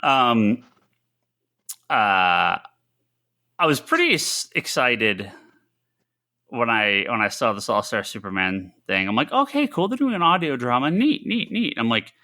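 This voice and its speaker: A man co-hosting a casual comics podcast, talking haltingly, repeating words and acting out his own reactions. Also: medium pitch, very animated, pushed and bright. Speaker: podcast co-host.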